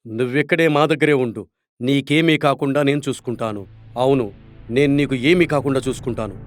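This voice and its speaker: A man voicing a stern but protective animal king in an animated story, speaking earnestly. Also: medium pitch, brisk, faint music behind, lightly acted.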